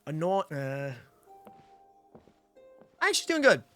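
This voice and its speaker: A young American woman gives casual livestream commentary on a game. Her voice is bright and forceful, with animated swings in intonation and a playful, slangy delivery. Faint game music runs underneath. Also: deep voice, slow pace. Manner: playful, slangy, reacting to chat. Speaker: game streamer.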